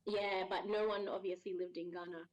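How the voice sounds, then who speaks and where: A woman's voice: mid-range voice, level tone, brisk, crowd noise. Woman, podcast guest on a video call; friendly, laughs often, chatty with fillers. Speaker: voice actor guest. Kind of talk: casual podcast interview. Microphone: remote video call.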